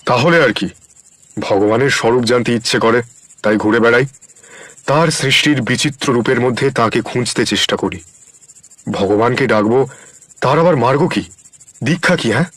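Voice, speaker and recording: An actor playing a kindly, wise wandering ascetic in an audio story drama, speaking in a gentle, earnest, philosophical manner. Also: male voice, medium pitch, moderate pace, pauses often, no room sound, close to an everyday voice. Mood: humble, serene. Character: wandering holy man, kindly, wise, plain-spoken ascetic.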